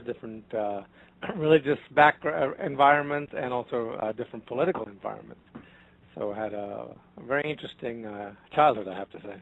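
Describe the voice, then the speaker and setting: Male guest author on a radio show, mid pitch, animated, loud then soft, muffled sound. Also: creaky. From grew up in Africa, the Middle East and India. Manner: animated, with sharp swings in loudness and many fillers. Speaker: author and ministry founder, guest. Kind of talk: guest interview. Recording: dull lo-fi broadcast audio.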